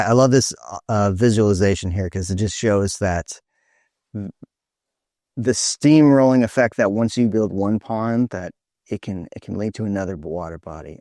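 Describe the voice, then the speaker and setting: A man hosting an informal online presentation, speaking in an earnest, halting way over a video call. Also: lowish voice, a little mellow, no room sound, clean studio sound.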